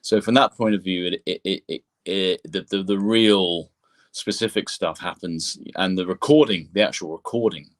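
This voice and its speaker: A man's voice: low-pitched, normal speed. A man giving discursive explanations as an interview guest, often restarting and repeating words as he goes. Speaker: composer and sound engineer, interview guest.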